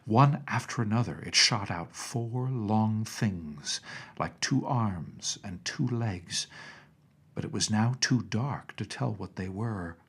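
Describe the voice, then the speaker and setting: A man's voice: lowish voice, slow, breathy edge. A man narrating a children's storybook from a script as an audiobook reader.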